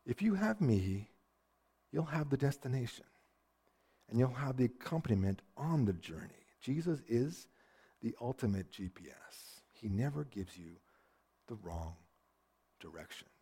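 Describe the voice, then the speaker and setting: A man preaching a sermon, telling stories with lots of energy, often repeating words as he starts a sentence, and dropping to a hush to drive a point home. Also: medium pitch, unhurried, long pauses, husky. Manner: animated storytelling, swings from loud to hushed. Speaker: pastor.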